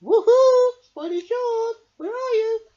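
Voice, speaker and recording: A woman voicing an excitable, helpful angel fish in a sing-song storybook manner. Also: very high voice, takes it slowly, lo-fi sound, voice pushed up. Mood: calling out.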